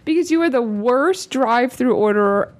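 A woman's voice: fairly high pitch, expressive, moderate pace, a little hiss or hum. A woman co-hosting a casual chat, teasing her partner and laughing a lot. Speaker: co-host.

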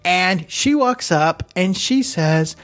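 A man's voice: high-pitched, expressive, slow pace, a bit bright. A man co-hosting a podcast, casually telling a long anecdote that keeps wandering into asides and self-corrections. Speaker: podcast co-host. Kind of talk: casual chat, storytelling. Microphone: studio microphone plugged into computer.

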